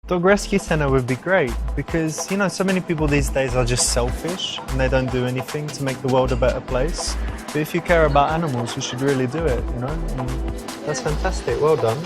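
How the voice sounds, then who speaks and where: A man playing a smooth, attentive dater and coach, talking in a conversational, reassuring way. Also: mid-range voice, fairly quick, music in the background, lightly acted. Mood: earnest. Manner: conversational, reassuring, uses "you know" often. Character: smooth, attentive man chatting up a woman on a date. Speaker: seduction coach and dating game presenter. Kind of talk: scripted date dialogue and coaching commentary.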